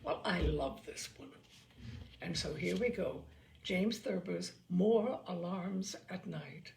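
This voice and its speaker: A woman reads a literary story aloud with care. Her pitch moves widely and expressively, and a noticeable creak colors the voice. Phrases are measured and deliberately placed. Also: expressive, darkish tone. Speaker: storyteller reading short stories aloud.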